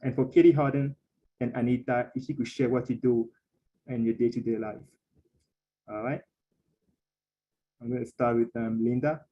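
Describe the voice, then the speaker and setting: A man's voice: medium pitch, fairly even intonation, moderate pace, pauses often, muffled recording. A young man hosting a panel webinar, speaking steadily with frequent fillers over a video call. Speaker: webinar host and council president.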